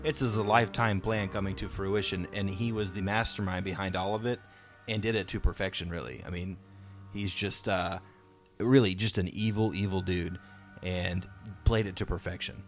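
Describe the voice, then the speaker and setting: Male podcast host, lowish voice, informal and enthusiastic fan chat with faint music underneath. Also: fairly even intonation, normal speed. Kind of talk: casual fan discussion. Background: music playing faintly.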